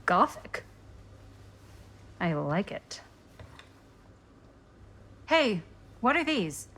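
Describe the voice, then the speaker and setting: An actress playing a cool, dry-witted young woman in a video game, with an understated, deadpan and lightly teasing delivery. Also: medium pitch, drawn-out words, full character voice. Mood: wry. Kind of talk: naturalistic video game cutscene acting.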